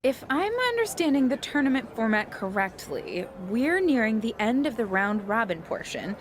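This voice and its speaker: A woman's voice: a little high, sing-song, normal speed, slight background noise. An actress playing an inquisitive, lightly joking female wrestling interviewer in a video game cutscene.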